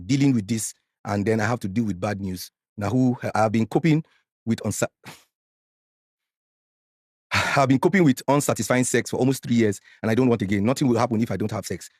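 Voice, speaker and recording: Nigerian guy in his 40s, talks fast and gets loud n worked up, dry mic. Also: slightly rough, dry recording. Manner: animated, mixes Pidgin, gets loud and high when worked up. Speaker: podcast guest. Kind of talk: emotional personal story, podcast.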